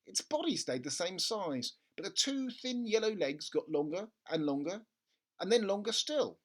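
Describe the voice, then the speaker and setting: A storyteller reading a children's book aloud in an expressive narrating style. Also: mid-range voice, moderate pace. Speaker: storybook reader.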